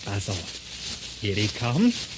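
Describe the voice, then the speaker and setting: A man's voice: on the low side, wildly swooping pitch, extremely slow, heavily acted. A man playing a cocky, foolhardy, chuckling king in a comic radio drama, theatrical and taunting. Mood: dismissive. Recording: slightly noisy old radio drama recording.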